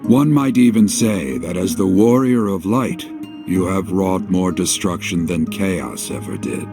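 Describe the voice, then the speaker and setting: An enigmatic game guide speaks in a low, theatrical voice that swoops widely. His words are archaic and grandiose, knowing and faintly taunting, set over clear background music. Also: animated, unhurried. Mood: coolly knowing. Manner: formal archaic diction, portentous. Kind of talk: dramatic video game cutscene acting.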